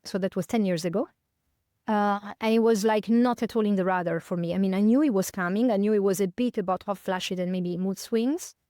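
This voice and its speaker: Italian woman in her fifties, smooth voice. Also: mid-range voice, brisk, dark tone, dry recording, studio quality. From northern Italy, near Milan. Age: 50s. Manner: thoughtful, many fillers and self-corrections. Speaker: podcast guest. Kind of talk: reflective conversational interview.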